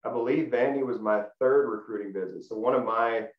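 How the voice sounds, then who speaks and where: A man, a guest telling his story in a relaxed way with many restarts over a video call. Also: medium pitch, a little fast. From Middle Tennessee. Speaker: guest, former college football player. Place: small room at home, indoors. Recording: roomy, dull video call audio.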